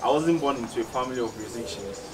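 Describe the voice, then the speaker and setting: A man's voice: medium pitch, fast. Cameroonian guy talking about himself in an interview, thoughtful, mic kinda far away, lots of background noise. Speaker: musician being interviewed. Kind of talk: casual interview answers. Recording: distant mic, clearly noisy background ambience.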